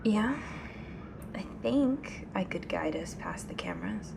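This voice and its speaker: A woman playing a restless, anxious young woman in an ASMR audio drama, speaking softly and hesitantly, often near a whisper. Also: on the low side, huge pitch swings, takes it slowly, full character voice. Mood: uneasy, hesitant. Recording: clear background noise, ambient sound.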